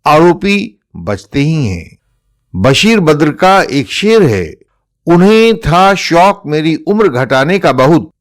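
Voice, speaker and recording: A man with an Indian accent delivers a scripted legal explainer in a formal, emphatic, rhetorical manner. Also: a little high.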